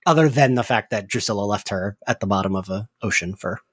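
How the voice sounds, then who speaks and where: A man's voice: fairly low pitch, fast talker, husky. A man hosting a podcast, chatting casually in a rambling, giggly way full of filler words. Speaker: podcast host. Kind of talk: casual chatty recap discussion.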